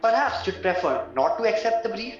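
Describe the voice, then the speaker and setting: A man playing a calm, reassuring and loyal solicitor in an audio play. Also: high voice, fairly quick, faint music behind. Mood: measured. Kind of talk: stage-style radio play acting. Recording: muffled, very echoey room recording.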